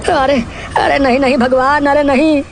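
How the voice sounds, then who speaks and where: A woman's voice: sits high, fairly even intonation, moderate pace, noisy background. A woman playing a poor, devout village farmer who pleads and exclaims in a cartoon moral story. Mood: anguished. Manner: plaintive, exclamatory, imploring.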